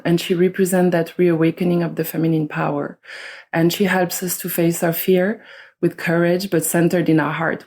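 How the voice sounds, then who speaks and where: Soft-spoken, low-voiced woman from Switzerland. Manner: slow, soft-spoken, searching for words. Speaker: guest, somatic educator and astrologer. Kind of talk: reflective interview talk.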